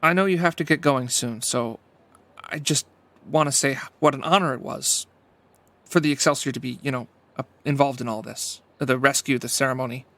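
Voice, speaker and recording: A man playing a courteous, earnest starship captain in an audio drama, polite and a little halting. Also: mid-range voice, sharp tone, no echo. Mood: wistful.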